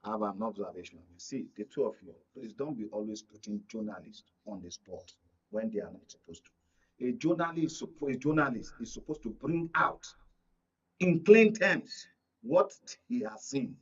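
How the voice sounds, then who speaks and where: A man with a Nigerian accent and husky voice debating as a journalist on a panel, insistently repeating his points. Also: fairly low pitch, normal speed. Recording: dull, lo-fi broadcast audio.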